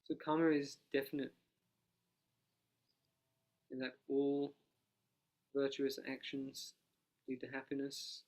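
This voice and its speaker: A man, a monk, leading a guided analytical meditation, speaking quietly and unhurriedly without a dedicated microphone. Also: medium pitch, flat delivery. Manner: soft-spoken, quiet, unhurried.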